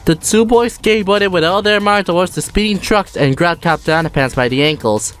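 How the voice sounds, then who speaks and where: A woman narrating a children's storybook in a steady, expressive read-aloud manner. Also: lowish voice, a little fast, over loud music. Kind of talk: storybook reading.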